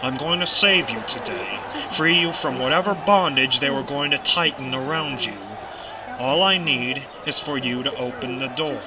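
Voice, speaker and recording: A man's voice: medium pitch, moderate pace, crowd noise, close to an everyday voice. A man acting in an audio drama as a bitter, menacing pony rebel leader, theatrical and taunting. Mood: menacing, persuasive.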